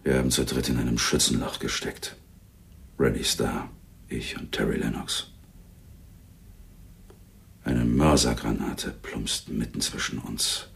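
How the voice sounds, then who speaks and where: Gravelly, very deep-voiced menacing gangster. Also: male voice, measured pace, long pauses, fairly bright tone, heavily acted. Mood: grim, low. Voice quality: gravelly, husky rasp. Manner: threatening, tough street slang. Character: menacing, boastful male gangster, war veteran with grudge. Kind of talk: radio crime drama acting.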